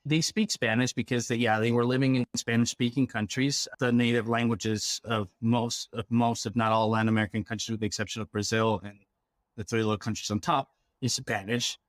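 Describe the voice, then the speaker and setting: A man's voice: medium pitch, level tone, fairly quick, no room sound. A man from Ecuador explaining things conversationally as an interview guest, often checking in with the listener. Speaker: interview guest, refugee program worker.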